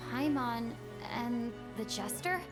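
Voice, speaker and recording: A woman's voice: fairly high pitch, lively intonation, slow, over music. A woman voicing an earnest, anxious young girl adventurer in an anime game. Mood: puzzled.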